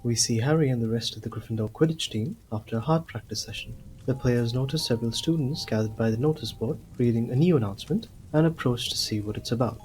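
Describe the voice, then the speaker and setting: A man narrating a scripted audio drama as a measured, descriptive storyteller. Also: mid-range voice, a little mellow, slight background noise, natural voice.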